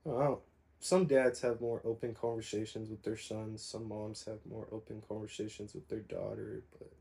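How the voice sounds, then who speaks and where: Young guy in his 20s chatting on a podcast, mellow dark tone, kinda flat, lots of ums. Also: fairly low pitch, moderate pace, gravelly. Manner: relaxed, rambling, many fillers. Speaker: podcast co-host. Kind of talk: casual conversation.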